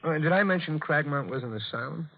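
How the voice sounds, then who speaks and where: An actor playing a police lieutenant who is casual, wry and knowingly probing, in an old-time radio thriller. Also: male voice, a little high, expressive, fast talker, vocal fry. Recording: muffled old radio recording, faint noise.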